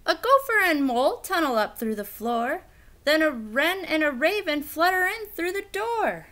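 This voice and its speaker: A woman reading a children's picture book aloud in an expressive, theatrical, emphatic storytelling style. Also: high voice, normal speed.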